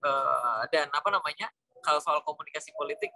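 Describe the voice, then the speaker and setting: Fast, bright-voiced man telling his story. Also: on the high side, creaky, no echo. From Cirebon, West Java, Indonesia. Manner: informal, mixes in English words. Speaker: interview guest, Islamic school educator. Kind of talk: conversational personal storytelling.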